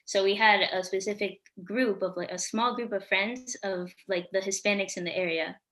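A woman's voice: medium pitch, steady tone, moderate pace, fairly bright tone. A sixteen-year-old girl co-hosting a podcast, chatting casually and saying "like" a lot. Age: teens. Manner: chatty, lots of "like". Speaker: podcast co-host.

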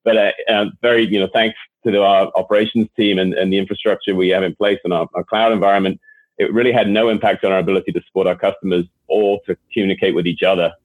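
Deep husky Englishman on a phone line. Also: steady tone, quick pace, dry recording. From England. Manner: repeats words often as he restarts phrases. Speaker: guest executive. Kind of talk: interview answers. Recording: tinny phone-line sound.

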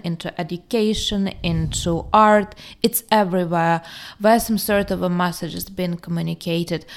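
A woman with a somewhat low voice. She talks through her opinions fluently in casual discussion, with plenty of filler sounds. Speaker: podcast co-host.

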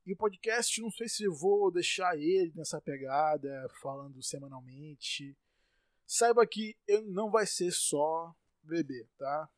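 Brazilian man, podcast host, fairly high voice, dry close sound, exasperated rant, bursts out loud, repeats himself. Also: expressive, a little slow, no echo. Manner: exasperated ranting with sudden loud outbursts; repeats words and stumbles when worked up.

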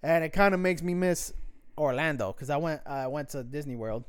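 A man's voice: a little high, normal speed, smooth, no echo. A man in his thirties from New York co-hosting a casual podcast, talking loosely and swearing as he tells stories. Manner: swearing, loose storytelling with impressions. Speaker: podcast co-host. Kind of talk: casual chat.

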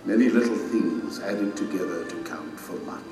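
A man's voice: sits low, lightly acted. Man playing a majestic, stern king, echoing hugely. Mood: solemn, measured. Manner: solemn, measured, authoritative. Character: majestic stern male king judging his subjects. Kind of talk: dramatized audio allegory. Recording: cavernous reverberant hall, distant, music underneath.

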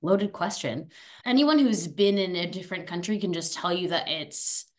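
A woman's voice: lowish voice, animated, moderate pace. A young woman raised in France and then Arizona, chatting as a podcast guest in a talkative, upbeat and goofy way. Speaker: guest, alumna. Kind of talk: casual interview chat.

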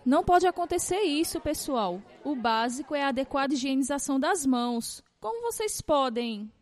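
A woman playing a high-voiced strict nursing teacher. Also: expressive, normal speed. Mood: stern. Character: strict female nursing teacher supervising interns. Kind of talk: amateur audio drama acting.

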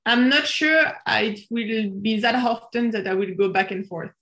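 A woman's voice: fairly high pitch, unhurried, fairly bright tone. A woman from France with a French accent, a planetary scientist answering interview questions in a thoughtful, hesitant way with many fillers.

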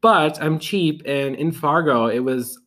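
A man's voice: on the high side, a little slow. A man talks about his week in an easy, rambling way. He leans on "um" and "I mean" and laughs along as he goes. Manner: relaxed and rambling, with many fillers and laughs. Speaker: podcast co-host. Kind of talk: casual chat.

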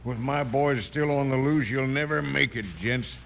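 Gravelly American outlaw boss, cocky and menacing, hard-edged drawl, lightly noisy old radio Western. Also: male voice, medium pitch, steady tone, moderate pace, fairly bright tone. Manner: drawling Western slang, taunting. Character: captured outlaw gang leader, menacing, cocky and taunting. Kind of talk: 1950s Western radio drama acting.

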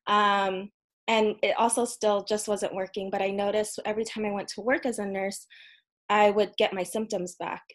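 A woman in her thirties, a podcast guest, telling a personal story in an earnest, casual way with frequent ums and soft laughs. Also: medium pitch, flat delivery, normal speed. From United States. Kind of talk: casual interview conversation.

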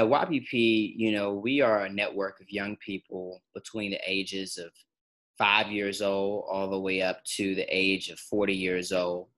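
Very deep-voiced young pastor. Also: male voice, fairly bright tone, poor audio. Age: 20s. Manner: earnest, repetitive phrasing. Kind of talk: interview answers.

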